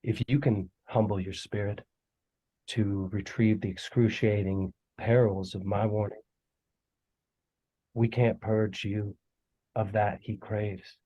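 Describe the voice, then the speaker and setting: Man playing a slow, husky, mysterious doom preacher. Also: fairly low pitch, little pitch movement, warm tone, highs cut off. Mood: solemn, menacing. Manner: slow, solemn, scripture-quoting delivery. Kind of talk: table read of a horror script.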